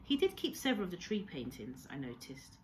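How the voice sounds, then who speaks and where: A woman reading a storybook aloud like a teacher to pupils, in a measured, expressive storytelling manner. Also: on the low side, a little hiss or hum. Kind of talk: read-aloud storybook narration.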